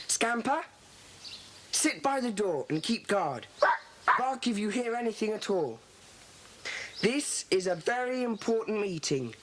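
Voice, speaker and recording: An actor playing a solemn, commanding schoolboy who leads a secret club in a children's audio drama, with an earnest, deliberate delivery. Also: medium pitch, close to an everyday voice. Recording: faintly noisy 1980s cassette.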